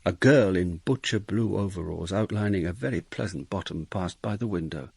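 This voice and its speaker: Husky, dry, world-weary male detective. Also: deep voice, moderate pace, darkish tone, no room sound, heavily acted. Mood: weary, reflective. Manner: dry, wry. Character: weary, dogged male Dutch police detective, narrating his case. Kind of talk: British radio drama narration and dialogue.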